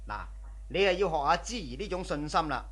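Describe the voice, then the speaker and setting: An actor playing a doting husband in a radio drama, calm, soothing and indulgent. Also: male voice, a little high, brisk, a little hiss or hum. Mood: reassuring. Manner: reassuring, indulgent.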